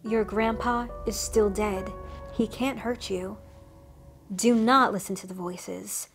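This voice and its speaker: Woman putting on a friendly old cryptid man, rotting, chatty, wry, reassuring, slow-paced, faint music behind. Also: mid-range voice, fairly even intonation. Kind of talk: scripted storytelling monologue.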